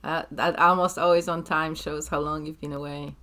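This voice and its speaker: Lower-voiced woman teasing in chat. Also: level tone, moderate pace, pushed and bright. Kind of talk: casual group chat.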